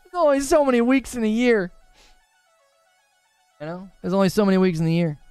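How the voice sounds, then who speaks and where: A man with a smooth, clear and slightly high voice hosts a gaming livestream. He talks informally and slangily, speaking straight to his viewers as he reads out their comments. The sound is close and dry. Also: animated, fairly quick, a little mellow, no echo, faint music behind. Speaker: gaming podcast host and livestreamer. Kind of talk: casual livestream chat, reading viewer comments aloud.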